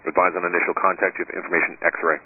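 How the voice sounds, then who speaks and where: Guy doing airport weather readout, really low voice, clipped phrases, lo-fi radio sound. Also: level tone, fast talker, slight background noise. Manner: clipped, formulaic read-out. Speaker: airport weather information announcer. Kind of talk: scripted aviation weather broadcast. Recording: muffled lo-fi aviation radio broadcast.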